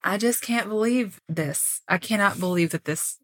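A woman's voice: mid-range voice, normal speed. A woman co-hosting a podcast, chatting informally and questioning the story in an incredulous way. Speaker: podcast co-host. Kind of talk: casual chat, reacting to a true crime story.

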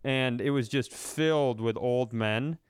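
Man, podcast co-host, clean smooth voice, dry close sound, jokey casual storytelling, swears freely. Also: mid-range voice, a little slow, no echo. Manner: jokey, profane, acts out quoted dialogue. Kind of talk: casual comedic chat and storytelling.

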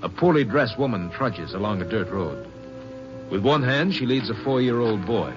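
A man reading measured, sober scripted narration for a 1950s radio western. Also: fairly low pitch, animated, music in the background. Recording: muffled old radio transcription.

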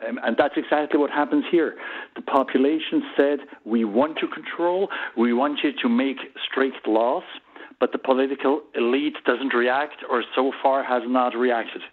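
A male interview guest with a Swiss accent, heard over a narrow telephone connection. He picks his words carefully and haltingly, as a politician making his case on the radio. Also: medium pitch. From Switzerland. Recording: thin, band-limited phone line.

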